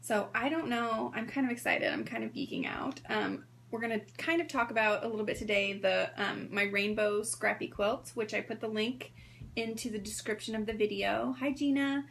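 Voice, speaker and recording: A woman in her thirties hosting a sewing livestream in a hoarse voice, chatting casually and greeting viewers by name. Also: on the high side, normal speed, fairly bright tone. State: hoarse from strep throat.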